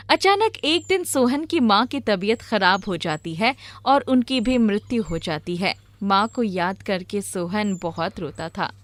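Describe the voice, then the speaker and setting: A woman's voice: medium pitch, slight background noise. A woman reading scripted narration for a moral story in a storyteller's manner.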